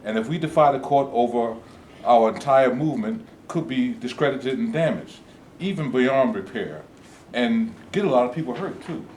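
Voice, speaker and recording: A man's voice: mid-range voice, animated, normal speed, a little mellow, natural voice. A man playing a cautious, principled activist leader in a staged play reading, formal and earnest. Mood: cautioning. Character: cautious male civil rights leader, principled, measured. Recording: echoey room with background noise.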